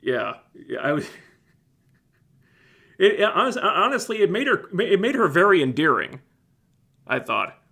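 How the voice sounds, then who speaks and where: A man hosting a casual livestream, with a phlegmy, scratchy throat, talking in a relaxed, rambling way that keeps breaking off and restarting. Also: high-pitched, measured pace, creaky, long pauses. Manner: relaxed, rambling, self-interrupting. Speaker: livestream host reviewing TV shows. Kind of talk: casual livestream chat answering viewers.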